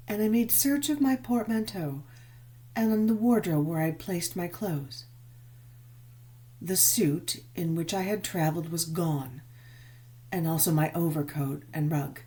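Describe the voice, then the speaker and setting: A woman reading a gothic novel as dramatic narration, measured and expressive, with drawn-out emphasis. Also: fairly low pitch. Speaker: audiobook narrator.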